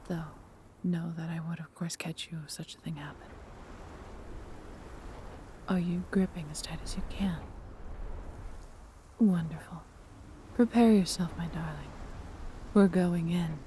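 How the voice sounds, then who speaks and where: A woman playing a proud, tender female dragon in a fantasy audio roleplay, with a breathy, theatrical, measured delivery. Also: lowish voice, noisy background, natural voice. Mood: protective, watchful. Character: proud, doting female dragon, adventurous and protective.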